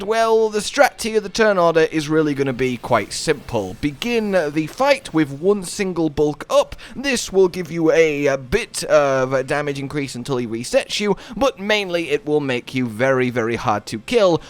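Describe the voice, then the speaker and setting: Animated, high-pitched male gaming guide narrator. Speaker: gaming video host. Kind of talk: casual scripted guide narration.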